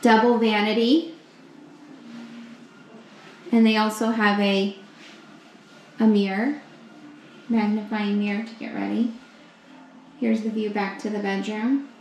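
Young woman, slow upbeat room-tour narration, roomy echo, faint hum behind, handheld camera mic. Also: medium pitch, flat delivery, darkish tone. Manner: slow, upbeat, pointing things out. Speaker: vlogger giving a room tour. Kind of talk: casual walkthrough narration. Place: indoors in a hotel suite. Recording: echoey rooms with faint ambience.

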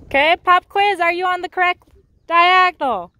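High-voiced woman coaching a rider. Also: moderate pace, pushed and bright, dry recording, studio quality. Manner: upbeat, teasing, praising. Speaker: riding instructor. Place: outdoor riding arena.

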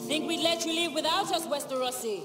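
An actor playing a greedy, menacing young sellsword in a fantasy game, sly and taunting. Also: male voice, very high voice, a little fast, over music. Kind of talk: dramatic fantasy game acting.

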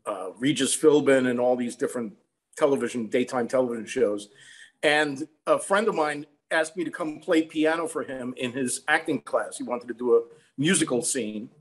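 A man in his sixties with an American accent, telling an anecdote matter-of-factly as an interview guest over a video call. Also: medium pitch. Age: 60s. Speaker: songwriter guest. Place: room at home.

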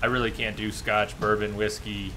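A man's voice: on the low side, level tone, normal speed. A man in his thirties hosting a video game livestream, musing casually as he chats with viewers. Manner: musing, chatting with viewers between game remarks. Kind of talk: casual gaming stream chat. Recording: clear background noise from game ambience.

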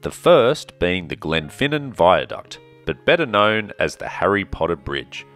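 A man narrating his travel vlog in a calm, measured, scripted way. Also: low-pitched, faint music behind. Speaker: travel vlogger.

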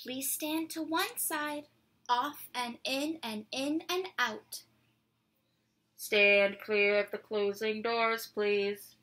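High-voiced young woman reading aloud, unhurried. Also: fairly even intonation, fairly bright tone. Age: 20s. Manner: deliberate, playful, dramatic phrasing. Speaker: children's read-aloud presenter. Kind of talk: expressive picture-book read-aloud for children. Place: small dim indoor room at home.

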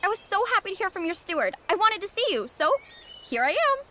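A woman's voice: very high-pitched, very animated, quick pace, pushed and bright, slight background noise, full character voice. A woman playing a cheerful, bubbly teenage schoolgirl fighter in a video game, perky and friendly.

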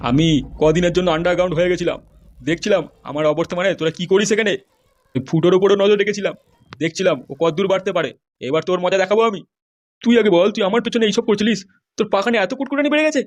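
A man's voice: sits high, racing, lightly acted. A man voicing a furious, foul-mouthed politician husband in a comedy cartoon, scolding and accusing. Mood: bitter, scolding.

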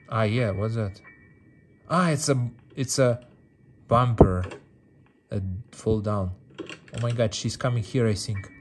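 Male mobile game streamer, lively swinging pitch, unhurried delivery, non-native phrasing, casual and playful, music behind. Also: vocal fry. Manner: repeats words and phrases, playful. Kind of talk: casual gameplay commentary.

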